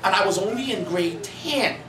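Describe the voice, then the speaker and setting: A man in his thirties from the Bahamas, an author and pastor telling his life story in earnest, building to animated emphasis at a cafe event. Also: sits high, moderate pace. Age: 30s. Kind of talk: personal testimony at a bookstore event. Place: coffee cafe in a shopping mall. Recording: roomy, distant, noisy cafe recording.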